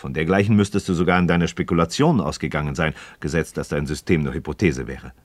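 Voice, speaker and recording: An actor playing an inquisitive, skeptical but courteous friend in a radio drama, speaking in a deliberate, questioning way. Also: male voice, rumbling low, no echo. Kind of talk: scripted philosophical dialogue in German radio drama.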